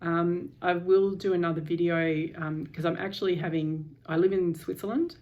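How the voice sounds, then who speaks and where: A woman with a low voice speaks straight to her camera from home in a personal vlog. She stays calm and candid, pausing often and restarting her sentences. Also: muffled recording. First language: English. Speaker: vlogger. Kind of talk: casual personal vlog update.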